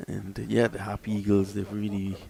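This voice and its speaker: Male cricket play-by-play voice, slightly low pitch, chatty and lively, punches big moments. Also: brisk, creaky. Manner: lively, chatty, swells loud on key moments. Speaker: cricket commentator. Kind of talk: live cricket commentary.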